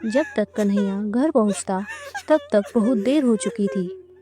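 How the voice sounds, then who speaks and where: A woman's voice: medium pitch, animated, a little slow, music in the background. A woman narrating a scripted cartoon moral story as its storyteller.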